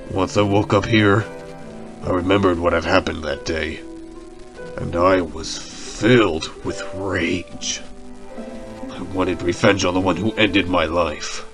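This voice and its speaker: A man acts out a dead wolf's spirit, consumed by revenge and regret. His voice is very deep with a heavy creak, and he speaks in a slow, brooding, dramatic way over a clear music bed, as in an audio drama. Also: heavily acted. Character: remorseful, vengeful male wolf spirit confessing murders.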